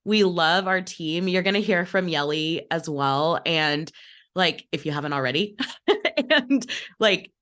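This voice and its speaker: A woman's voice: lowish voice, animated, a little slow, a bit bright. Chatty young woman, slightly under the weather. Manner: chatty, frequent "like", upbeat. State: a little sick. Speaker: operations manager and co-host. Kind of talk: casual chat.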